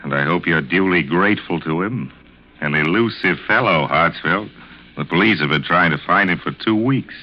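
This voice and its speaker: An actor playing a condescending, gloating theatrical murderer with a grandiloquent, mocking delivery, in 1940s radio drama style. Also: male voice, low-pitched, normal speed. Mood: smug, mocking. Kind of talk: 1940s radio suspense acting. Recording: dull, noisy vintage radio recording.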